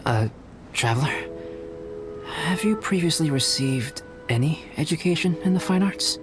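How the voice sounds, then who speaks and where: A man voicing a calm, polite young alchemist painter in a video game, soft-spoken, measured and courteous. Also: fairly high pitch, lightly acted. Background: game music.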